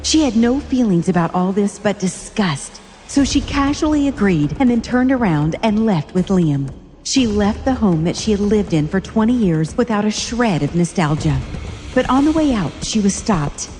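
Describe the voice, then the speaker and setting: A woman's voice: mid-range voice, lively intonation, moderate pace, mellow, big-room sound. A woman narrating a dramatic story from a script.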